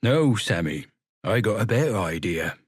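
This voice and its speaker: A man's voice: fairly low pitch, big pitch swings, moderate pace, husky, no room sound, clean studio sound. A man voicing a practical, streetwise young male pauper who talks plainly. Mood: scheming.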